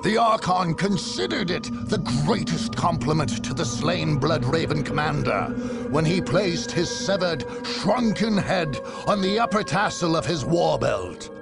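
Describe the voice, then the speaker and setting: A man playing a cruel, gloating dark-fantasy storyteller, narrating a game script theatrically in a creaky, savouring voice. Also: fairly high pitch, lively intonation, measured pace, loud music behind the voice.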